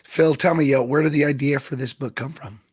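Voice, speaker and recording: A man hosts a podcast in a slightly scratchy, hoarse voice at a middling pitch. He talks casually and chattily with his guests. His recording is dry and close, with no room sound around it. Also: steady tone, a little fast, no room sound. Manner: chatty, joking, loose phrasing. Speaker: podcast host. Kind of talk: casual interview chat.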